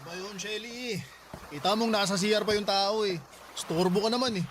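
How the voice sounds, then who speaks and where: An actor playing a childish, irresponsible boyfriend in a Tagalog radio drama, whining and defensive. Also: male voice, high voice, normal speed, background noise, close to an everyday voice. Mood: annoyed.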